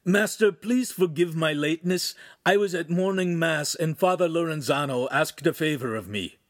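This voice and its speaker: A man's voice: on the high side, moderate pace, no room sound, studio quality, voice pushed up, natural voice. A man voicing a humble, eager reformed street youth in an audiobook, pleading and deferential.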